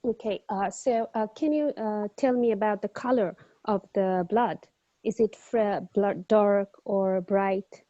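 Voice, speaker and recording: A woman's voice: mid-range voice, measured pace, mellow, no echo, lo-fi sound. A woman acting as a doctor in a mock exam, taking a clinical history politely and hesitantly, with many fillers. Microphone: video call.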